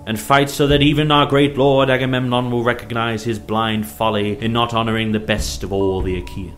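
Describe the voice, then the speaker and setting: A man voicing an ancient war captain who loudly rallies his soldiers in an epic story. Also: medium pitch, fairly even intonation, normal speed, faint music behind, lightly acted. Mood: rousing, fierce. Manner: loud, shouted, rallying.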